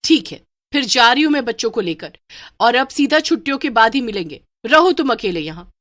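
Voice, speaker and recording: Outspoken cartoon mother of two, woman performer, high voice, strongly animated delivery. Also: a little fast, dry recording, clean studio sound. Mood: angry. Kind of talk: animated Hindi story acting.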